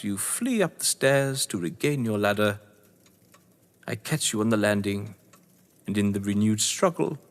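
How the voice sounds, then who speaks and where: An actor playing a cold, gloating crime novelist and betrayed husband in an audio drama, speaking with eloquent, taunting menace. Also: male voice, lowish voice. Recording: reverberant hall-like room.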